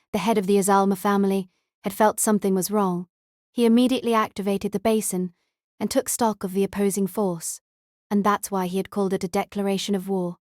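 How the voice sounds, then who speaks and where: A woman narrates a fantasy novel as an audiobook. Her voice sits at a medium pitch, and the recording is dry with no room sound. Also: no room sound. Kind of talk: scripted story narration.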